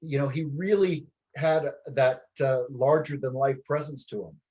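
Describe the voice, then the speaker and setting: A man from Canada, a documentary film director, giving a measured, reflective interview with many ums and pauses. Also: mid-range voice, a little mellow, highs cut off.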